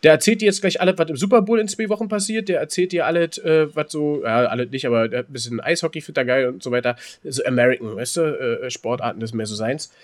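A man's voice: mid-range voice, fast talker, hardly any pauses. A man co-hosting a podcast, telling stories and bantering casually in a teasing, rambling way with repeated words. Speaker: podcast co-host.

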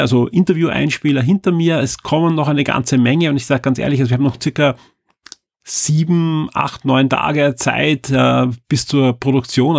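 A middle-aged man hosts his podcast alone, his voice a little hoarse. He talks in a loose, upbeat, chatty way with a gravelly edge. The sound is dry and close, with no room around it. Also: mid-range voice, dry recording. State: slightly hoarse. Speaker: podcast host. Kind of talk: solo podcast announcements.

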